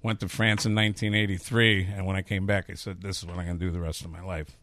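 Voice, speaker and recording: A man's voice: low-pitched, flat delivery, fast, fairly bright tone. A man in his fifties with a Chicago accent, a chef talking candidly and rambling through stories in a casual interview. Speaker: chef and restaurateur.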